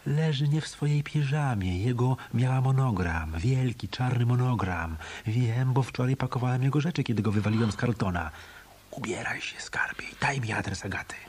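A tough, wry hotel detective, played by a man in a noir radio drama. His voice sits at an average male pitch and he talks in terse, commanding phrases, never flustered. The recording carries clear noise. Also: moderate pace, darkish tone, close to an everyday voice. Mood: calm, decisive.

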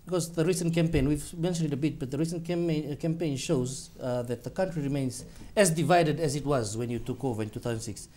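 A man's voice: a little high, fairly quick, few pauses, mellow. A man in his thirties hosting a studio TV interview, pressing his guest with challenging questions read from notes. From Fiji. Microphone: lapel.

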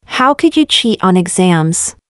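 A woman's voice: lowish voice, very animated, dry recording. Woman reading lesson questions, dry close. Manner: clear, measured question reading. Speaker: language lesson reader. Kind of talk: slow repeated example questions for learners.

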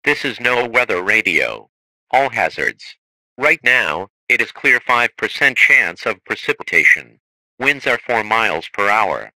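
A man's voice: lowish voice, sing-song, measured pace, pushed and bright, no echo, poor audio. A synthetic male text-to-speech voice reading scripted emergency broadcast announcements as an alert announcer.

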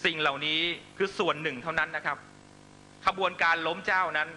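A man's voice: fairly high pitch, fairly even intonation, fairly quick, pushed and bright, slight background noise, rough recording. A man delivering a fiery political speech to supporters, accusatory and emphatic, constantly pressing listeners with rhetorical questions. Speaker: political rally speaker.

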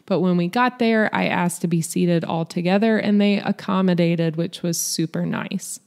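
A woman with a fairly low voice narrates a restaurant review. She talks evenly and conversationally, in a matter-of-fact way. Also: darkish tone. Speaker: vlog host, restaurant reviewer. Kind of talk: scripted voice-over review.

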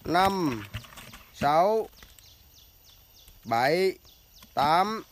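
A man's voice: on the high side, big pitch swings, drawn-out words, a little hiss or hum. A man doing playful mock sports commentary while filming outdoors at a fishing pond. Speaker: vlogger behind the camera, match commentator.

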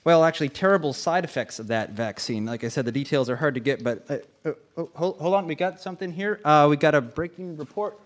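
A male actor is a flustered announcer in a mock live news break. He speaks haltingly and apologetically in a moderately high voice. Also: lightly acted. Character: flustered male director delivering emergency news.

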